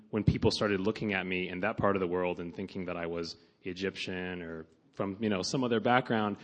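A man in his thirties from Nebraska, introducing himself on a church panel in a relaxed, reflective way. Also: low voice. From Omaha, Nebraska. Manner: relaxed, reflective, many ums.

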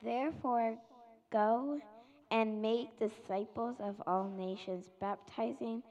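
A young reader reads scripture aloud at a slow, careful pace. The voice sounds dull and a little distant. It rings with long reverb in a large hall. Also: female voice, a little high, steady tone, darkish tone.

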